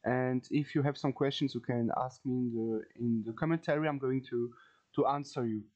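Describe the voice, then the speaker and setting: Halting Frenchman teaching, French accent. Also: medium pitch, fairly even intonation, darkish tone, muffled recording. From France. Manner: halting, searching for words, repeats phrases. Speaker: chess teacher, video host. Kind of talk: informal instructional commentary.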